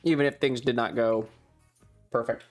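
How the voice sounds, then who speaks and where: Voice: medium pitch, fairly even intonation, normal speed, faint music behind. A streamer chatting casually and informally to a live audience, rambling and thinking aloud. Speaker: streamer and ASMR video creator hosting a livestream. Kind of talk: casual livestream chat.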